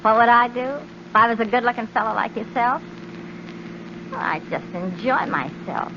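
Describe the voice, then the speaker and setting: An actress playing a brash, slangy young hitchhiker, blunt and wisecracking, in a muffled 1940s radio drama recording. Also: female voice, on the high side, normal speed, lightly acted. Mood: teasing. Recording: muffled 1940s radio broadcast with faint hiss.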